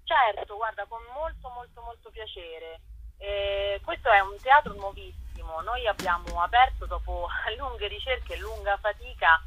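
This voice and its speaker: A woman theatre director talking enthusiastically about her theatre in an interview, pausing often with fillers. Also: mid-range voice, lively intonation, a bit bright, slight background noise, rough recording. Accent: Roman Italian.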